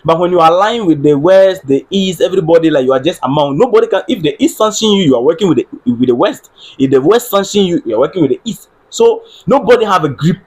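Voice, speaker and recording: A Ghanaian man hosts a solo live stream, talking through politics earnestly and circling back over his points. His voice is husky and sits a little high for a man. He stops now and then to ask viewers whether his sound is coming through. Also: expressive, quick pace, darkish tone. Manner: earnest, repeats phrases, checks on his audio with viewers. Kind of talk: solo livestream political commentary.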